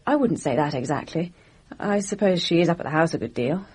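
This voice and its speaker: A composed, well-bred young Englishwoman in a radio play. Her RP voice is lowish and breathy, with lively intonation and a cool, wry edge. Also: a little fast. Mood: composed, dry. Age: young adult. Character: composed, dry young upper-class Englishwoman. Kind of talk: radio drama acting.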